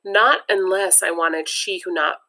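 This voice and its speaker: A woman's voice: fairly low pitch, measured pace, bright, forceful tone. A woman reading a children's comic book aloud, breaking off now and then to add her own chatty comments. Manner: chatty, adds personal comments between passages. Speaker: read-aloud narrator.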